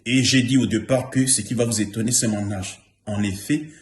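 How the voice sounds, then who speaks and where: A man's voice: lowish voice, fairly even intonation, normal speed. Earnest man in his fifties, roomy sound. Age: 50s. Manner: earnest, repeats himself for emphasis. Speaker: former trainee giving a testimonial. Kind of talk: spoken testimonial. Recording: roomy echo.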